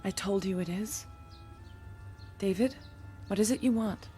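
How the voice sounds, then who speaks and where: An actress playing an anxious young heiress and dutiful daughter in a radio drama, earnest and hesitant. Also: female voice, mid-range voice, unhurried, mellow, soft background music, natural voice. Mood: distressed, pleading. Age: young adult.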